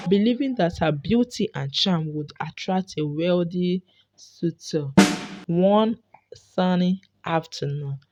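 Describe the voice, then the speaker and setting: Mellow, lower-pitched female storyteller. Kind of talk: scripted folktale narration.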